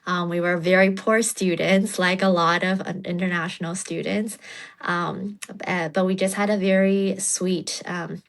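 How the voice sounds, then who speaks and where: A woman from China in her thirties speaks as an interview guest, sharing personal experience. Her voice is a little lower than most women's, and her phrasing is informal and halting. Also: little pitch movement. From China, partly United States. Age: 30s.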